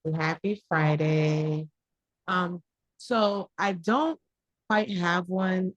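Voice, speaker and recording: A woman's voice: on the low side, slow, no echo, lo-fi sound. A woman whose first language is Haitian Creole, a small business owner talking about her business in a live online class over a video call, candid and hesitant, with many fillers. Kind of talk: casual chat.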